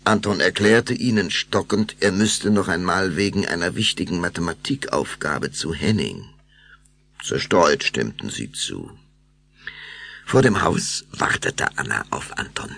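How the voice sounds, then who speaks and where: Deep, gravelly male storyteller, expressive. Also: a little slow. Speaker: narrator. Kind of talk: scripted storytelling narration for children's audio drama.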